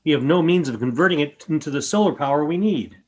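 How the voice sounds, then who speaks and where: A woman in a radio play voicing a solemn, courteous alien who speaks in a measured, formal way through a robot. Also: low voice, expressive. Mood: grave.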